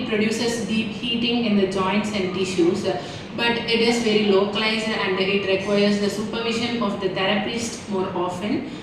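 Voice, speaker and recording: A young woman giving a student case study presentation. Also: mid-range voice. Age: young adult. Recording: echoey room, distant mic, noisy.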